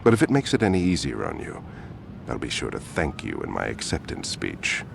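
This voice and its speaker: A man's voice: rumbling low, huge pitch swings, fairly quick, gravelly, slight background noise, exaggerated voice. An actor playing a smug, ruthless older male politician in a video game, pompous and politely menacing.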